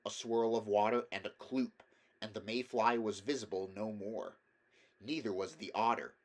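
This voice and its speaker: A man narrating a children's animal story as an expressive audiobook reader. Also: fairly low pitch, normal speed.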